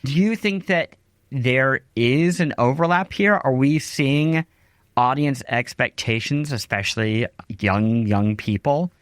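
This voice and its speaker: A man hosting an interview podcast, speaking conversationally in articulate, measured phrases. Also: mid-range voice, clear, resonant tone, dry recording, studio quality.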